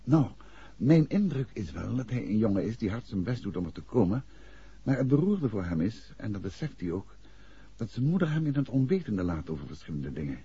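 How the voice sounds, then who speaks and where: An actor playing a calm, probing gentleman detective in a 1960s Dutch radio drama. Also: fairly low pitch, fairly quick, slightly rough, full character voice. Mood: musing. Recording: dull 1960s radio recording.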